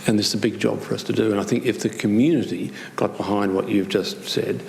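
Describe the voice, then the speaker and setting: Man, lowish gravelly voice, emphatic, persuasive, echoey hall. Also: steady tone, fast talker, a little mellow. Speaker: panellist. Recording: echoey hall, faint noise.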